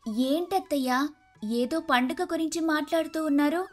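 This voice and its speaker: A woman's voice: high-pitched, moderate pace, lightly acted. A woman playing a meek, dutiful young daughter-in-law in a cartoon moral story, polite and submissive. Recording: music behind the voice.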